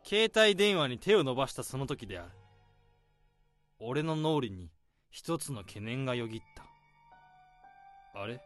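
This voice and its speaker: A man's voice: mid-range voice, sing-song, a little slow, pauses often, fairly bright tone, faint music behind. A man voicing a harried office worker who narrates in first person as he hides his boss's body, in a tense radio-drama monologue. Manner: tense inner monologue, rising to frantic self-pep talk. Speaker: narrator and protagonist.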